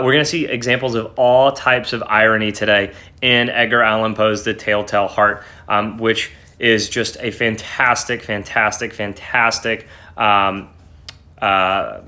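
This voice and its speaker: A man's voice: mid-range voice, pushed and bright. A young man giving an informal English lesson to camera, explaining emphatically with frequent hesitations, recorded at arm's length indoors. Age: young adult. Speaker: English teacher. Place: small wood-panelled room at home, indoors. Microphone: phone or laptop at arm's length.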